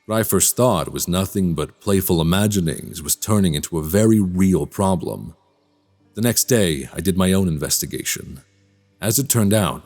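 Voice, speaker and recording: An actor playing a polite, troubled man suspected of being a vampire in a radio drama, speaking in measured, formal storyteller phrasing. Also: low-pitched, darkish tone. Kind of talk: immersive radio drama acting and narration.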